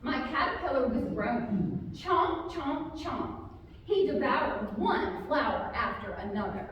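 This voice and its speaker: A woman author speaking and reading a children's story aloud to a group, with expressive, drawn-out emphasis on key words. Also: high-pitched, dull audio. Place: large reverberant room, live community gathering. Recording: very echoey hall, distant, faint noise.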